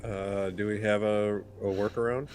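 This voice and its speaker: A man's voice: lowish voice, level tone, normal speed, a little mellow. A man talking casually and a little hesitantly as a player in a tabletop roleplaying game.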